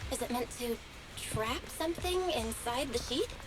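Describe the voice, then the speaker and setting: A woman's voice: on the high side, expressive, moderate pace, noisy background, close to an everyday voice. A woman playing a helpful young female hacker guide in a video game, eager and technical. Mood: curious, focused.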